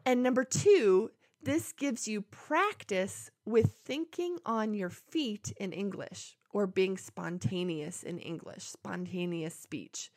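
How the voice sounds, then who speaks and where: A woman teaching English learners, explaining tips clearly and deliberately, step by step. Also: medium pitch, animated, darkish tone, no echo. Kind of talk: language lesson to camera.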